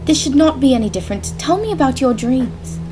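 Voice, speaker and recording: An actress playing a caring young gentlewoman in a radio drama, soothing and coaxing in manner. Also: high voice, animated, a little fast, music in the background.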